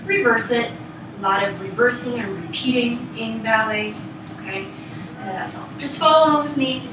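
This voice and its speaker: A woman's voice: on the high side, normal speed, noisy background. A woman teaching a ballet class, giving encouraging explanations and short rhythmic cues and counts. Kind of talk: instructional dance class, calling counts and cues. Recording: very echoey room, distant mic, loud music. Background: loud music.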